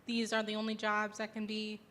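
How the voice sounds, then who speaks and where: A woman's voice: medium pitch, monotone, a little fast, fairly bright tone. A woman in a workshop audience asking inquisitive follow-up questions. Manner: inquisitive, asks follow-ups.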